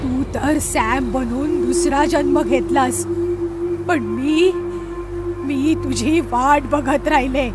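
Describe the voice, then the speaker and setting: A woman voicing a lovelorn female ghost with a drawn-out, yearning delivery in an animated horror story. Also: high voice, over loud music. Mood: yearning, mournful.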